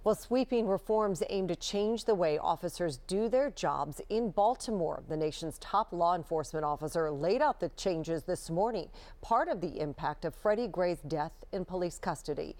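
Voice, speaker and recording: A woman's voice: lowish voice, animated, moderate pace, hardly any pauses, darkish tone. A woman anchoring a TV newscast, reading scripted stories. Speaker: news anchor. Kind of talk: scripted TV news delivery.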